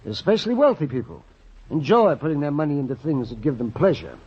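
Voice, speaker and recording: An actor playing an anxious, flustered circus owner in an old-time radio detective drama, speaking hesitantly and excitably. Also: male voice, a little high, fast, husky, highs cut off. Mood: hesitant, explaining.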